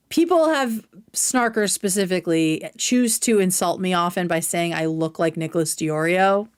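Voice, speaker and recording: A woman hosting a livestream with casual, opinionated commentary, speaking bluntly and swearing freely. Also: fairly low pitch. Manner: blunt, profane. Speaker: streamer, host.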